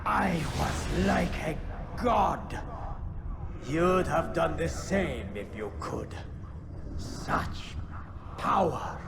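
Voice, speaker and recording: An actor playing a cruel, zealous crusade-era tyrant in a video game, speaking in a grandiose, declamatory manner. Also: male voice, a little high, expressive, drawn-out words, creaky, long pauses, background noise, exaggerated voice. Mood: gloating, unrepentant. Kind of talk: video game dramatic acting, oratory. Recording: loud music behind.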